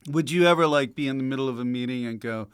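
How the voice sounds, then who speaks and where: An American man hosting a casual comedy interview, chatting in a halting, rambling, teasing way. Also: medium pitch, smooth. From United States. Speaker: podcast host.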